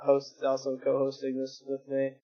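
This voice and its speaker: A young man hosting a casual gaming stream, joking and self-mocking, with a reasonably high-pitched voice, talking into a home desktop mic. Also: completely flat delivery, normal speed, muffled recording, crowd noise. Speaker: stream host. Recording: background music.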